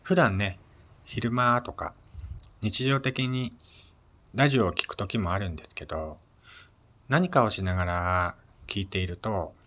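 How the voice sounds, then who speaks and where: Low-voiced man hosting a casual talk show. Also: measured pace, long pauses, fairly bright tone. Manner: polite, conversational. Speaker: host of a personal audio show. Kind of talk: casual solo radio-style chat.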